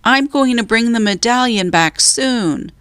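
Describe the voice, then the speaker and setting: A woman's voice: fairly high pitch, expressive, a little slow, a bit bright, no echo, studio quality, pitched above the performer's own voice. A woman voicing a cautious, thoughtful young boy in a children's storybook, speaking earnestly and carefully. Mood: calling out.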